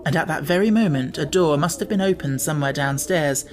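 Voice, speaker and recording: A man's voice: a little high, a little fast. A man reading a children's novel aloud as scripted audiobook narration.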